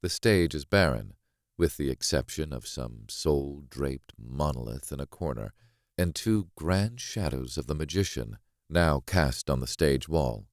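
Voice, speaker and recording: Extremely deep-voiced male thriller narrator. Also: expressive, measured pace, dry recording, studio quality. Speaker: audiobook narrator. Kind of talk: first-person dramatic scripted narration of a thriller.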